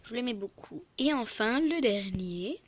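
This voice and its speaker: A woman's voice: fairly high pitch, animated, measured pace. A young woman casually commentating a game lookbook as host, rambling and interrupting herself, on a poor recording with noise and clicks. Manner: rambling, informal, self-interrupting. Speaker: video host.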